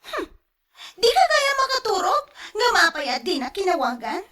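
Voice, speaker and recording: A woman's voice: extremely high pitch, sing-song, normal speed, pushed and bright, heavily acted. A woman playing a clingy, petulant young mistress in a radio drama, whining and wheedling with an Ilocano accent. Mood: suspicious, sulky.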